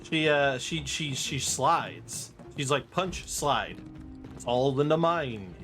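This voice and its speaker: A man livestreaming a game, talking casually at a middle pitch with game music running behind him. He is playful and loose, happy to slide into silly rhythmic chanting between bits of commentary. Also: takes it slowly, fairly bright tone. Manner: playful, breaks into goofy rap-style chanting and sound syllables. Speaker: video game livestreamer narrating a speedrun. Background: game music and sound effects.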